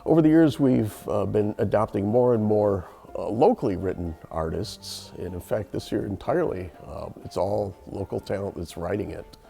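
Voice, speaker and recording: A man involved in running a radio theater, giving halting, thoughtful explanations in an interview. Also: lowish voice, vocal fry, dark tone, faint music behind. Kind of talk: interview answers.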